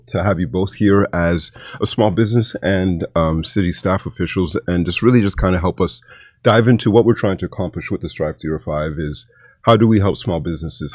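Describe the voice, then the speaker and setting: A man hosting a podcast, introducing guests in a halting way with many fillers and restarts. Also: deep voice, a little mellow, dry recording. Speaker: podcast host.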